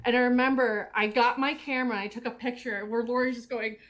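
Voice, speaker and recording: A woman's voice: fairly high pitch, steady tone, fairly quick, a bit bright, low-quality recording. A woman talking casually and recalling things in a group commentary.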